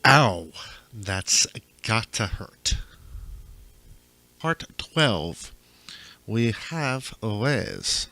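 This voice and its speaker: Man narrating with big theatrical pitch swings. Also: big pitch swings, drawn-out words, long pauses, sharp tone, faint music behind. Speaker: author reading own stories. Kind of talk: dramatic audiobook narration of surreal first-person fiction.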